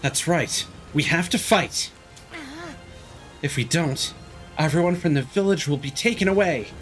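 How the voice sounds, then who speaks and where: A man's voice: fairly high pitch, a little slow, fairly bright tone, faint music behind, pitched above the performer's own voice. A man voicing an earnest, brave young child in a tank crew, reading video game dialogue. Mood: determined.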